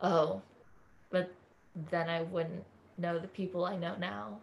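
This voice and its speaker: A woman's voice: fairly low pitch, flat delivery, takes it slowly, gravelly. A woman from California, a podcast guest talking in an effusive, earnest way in a casual interview chat.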